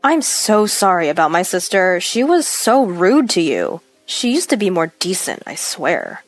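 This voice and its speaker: A woman's voice: on the low side, very animated, measured pace, faint music behind. A woman voicing a protective younger husband in a story, stern and earnest. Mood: apologetic. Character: protective younger husband scolding his rude sister.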